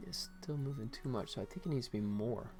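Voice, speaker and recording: A man's voice: mid-range voice, fast, creaky, a little mellow, soft background music. A man livestreaming as he works, thinking aloud in casual chat, sometimes muttering to himself. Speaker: livestream host, game animator.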